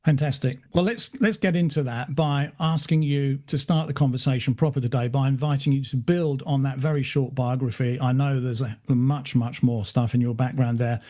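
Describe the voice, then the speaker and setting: A man hosting a podcast, reading a formal, measured scripted introduction. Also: mid-range voice, steady tone, mellow. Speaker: podcast host.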